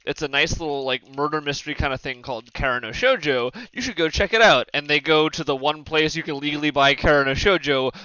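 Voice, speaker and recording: A man's voice: a little high, fast talker, hardly any pauses, sharp tone, smooth, no echo, muffled recording. A man talking casually as a podcast host, giving emphatic opinions with some swearing. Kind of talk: casual opinionated panel discussion.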